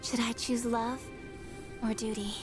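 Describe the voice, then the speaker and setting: A woman voicing a torn young princess in a game cutscene, in a quiet inner monologue. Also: a little high, level tone, measured pace, over music, close to an everyday voice. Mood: pensive.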